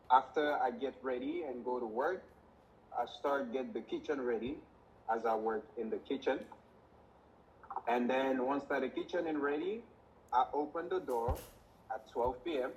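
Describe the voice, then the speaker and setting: A man answering an interview as a kitchen worker, laying things out in simple step-by-step sentences. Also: mid-range voice. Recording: dull lo-fi sound, faint noise.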